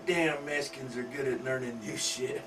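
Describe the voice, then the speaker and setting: A man voicing a crude, indulgent, rich middle-aged country husband with a loud, coarse drawl. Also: mid-range voice, a little slow, close to an everyday voice. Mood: gruff. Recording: distant mic with faint hum.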